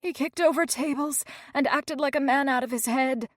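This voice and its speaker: A woman voicing a frightened teenage girl, a battered runaway who talks in rough, ungrammatical speech. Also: high voice, normal speed, no room sound, studio quality, voice pushed up, natural voice.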